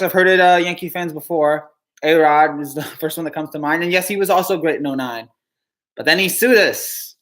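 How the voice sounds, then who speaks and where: Man, from new jersey, live streamer, dejected, halting, stammering restarts, sighs between thoughts. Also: high-pitched, fairly bright tone. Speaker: live streamer answering chat. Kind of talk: live reaction stream, reading chat questions.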